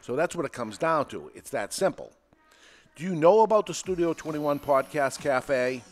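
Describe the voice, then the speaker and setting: Energetic New England podcast host, man. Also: fairly high pitch, moderate pace, soft background music. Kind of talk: live podcast talk. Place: podcast studio with a live audience.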